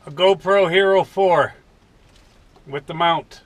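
An American man in his fifties talking in a folksy, unhurried way in a casual vlog from a truck cab. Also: high voice. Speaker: vlogger. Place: inside a parked truck cab.

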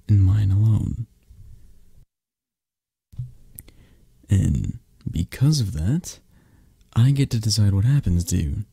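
A man playing a possessive, menacing mafia boss lover in an ASMR roleplay, with a breathy, gravelly voice and a halting, condescending manner. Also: sits low, warm tone, no echo, full character voice. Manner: slow, halting, controlling, condescending. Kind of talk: ASMR roleplay, intimate close-up acting.

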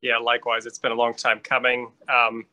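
A middle-aged man from New Hampshire, speaking as a guest scientist over a video call on a headset, measured and thoughtful with frequent ums and restarts. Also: medium pitch, completely flat delivery, sharp tone, dry recording. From New Hampshire, United States. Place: lit home room, indoors.

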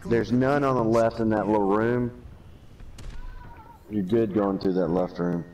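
A man's voice: fairly low pitch, a little slow, a little mellow, far from the mic, poor audio. A man chatting casually with teammates in an online game, swearing and calling out positions. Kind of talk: casual, profane team game chat.

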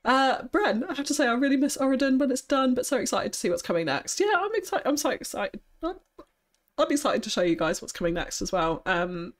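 A young woman with a high-pitched voice chatting on a live stream, bubbly and giggly, often thanking viewers. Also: sing-song, a little fast. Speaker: streamer building a city. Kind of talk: casual live-stream chat.

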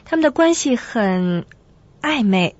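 Actress as timid worried young secretary in a radio play, highish voice, halting and soft mannered, close dry sound. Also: female voice, expressive, no room sound, muffled recording. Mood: resigned, troubled. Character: gentle, anxious young office secretary, resigned and self-effacing. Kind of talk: radio drama acting.

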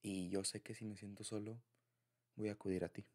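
A man's voice: on the low side, flat delivery, a little fast, darkish tone. A man with a Mexican accent speaking in an informal, affectionate, rambling way, as in a personal ASMR boyfriend roleplay.

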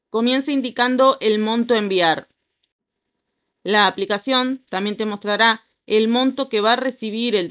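A woman, reading a scripted app tutorial in an even, instructive way. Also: fairly high pitch, lo-fi sound. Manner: even, instructive step-by-step delivery. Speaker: tutorial narrator. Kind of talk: scripted voice-over narration.

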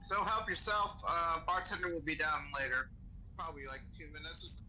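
A man's voice: a little high, fairly quick, crowd noise, rough recording. A young man improvising in character as a bartender in game role-play over voice chat. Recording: faint background noise on game voice chat.

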